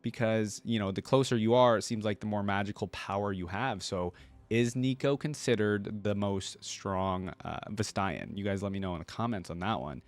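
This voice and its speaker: A man with a fairly low voice hosts a reaction video in a casual, chatty style. He rambles informally like a keen fan speaking straight to his audience. The sound is dry, with very little room in it. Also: moderate pace, dry recording. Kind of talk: casual chat to viewers.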